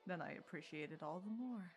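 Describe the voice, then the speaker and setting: A woman's voice: fairly low pitch, expressive, fairly quick, close to an everyday voice. Wry winged adventurer woman, acted, music behind. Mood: wry, teasing. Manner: dry, teasing, nonchalant. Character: winged female Coatla adventurer, wry and unbothered tavern drinker. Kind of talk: audio roleplay drama acting.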